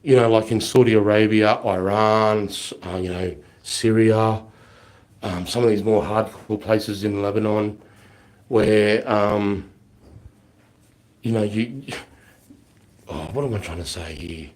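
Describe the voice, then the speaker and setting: A man in his forties with a lowish voice that sounds slightly worn from a flu. He answers a live stream's questions in a loose, rambling way, blunt and full of swearing. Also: fairly even intonation, takes it slowly, pauses often, darkish tone. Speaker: livestreamer answering viewer questions. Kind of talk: casual live Q&A chat.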